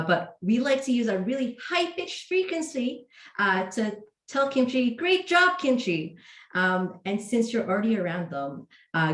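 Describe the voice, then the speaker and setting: A woman hosting a virtual hangout on cat training, talking casually with many fillers and restarts. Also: lowish voice. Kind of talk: casual livestream talk. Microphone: video call. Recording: roomy echo.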